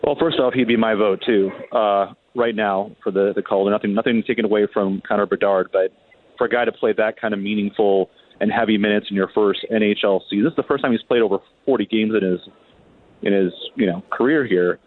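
Reporter guy phoning in, lowish voice, tinny echoey line, talking sports, kinda halting and repeating himself. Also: steady tone. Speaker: hockey reporter, interview guest. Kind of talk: conversational sports analysis. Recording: thin phone line, roomy echo.

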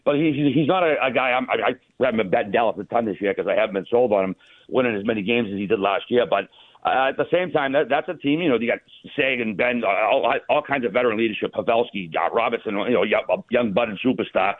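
Fast-talking husky man on phone-like line. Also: mid-range voice, no echo. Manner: rambling, casual, informal. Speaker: sports talk host. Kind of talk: casual unscripted hockey talk. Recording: tinny narrow phone-like line.

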